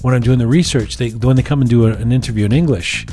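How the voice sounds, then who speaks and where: A man's voice: medium pitch, quick pace. A man with a Canadian accent hosting a podcast, chatting casually and joking about listener letters. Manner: lively, joking, playful teasing. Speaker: podcast host. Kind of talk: casual chat reading listener mail.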